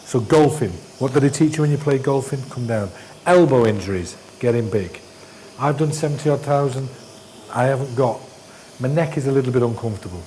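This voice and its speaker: A man's voice: mid-range voice, brisk, dark tone, a little hiss or hum, rough recording. A middle-aged man teaching endoscopy hands-on, giving short blunt instructions and dismissive asides. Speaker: endoscopy trainer. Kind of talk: hands-on teaching, coaching a trainee. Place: hospital endoscopy training room.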